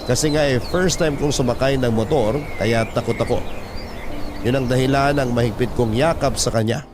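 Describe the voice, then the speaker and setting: A man's voice: mid-range voice, moderate pace, noisy background. A man reading a scripted first-person love story as narrator, in a conversational, confiding manner. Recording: clear music under the narration. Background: music.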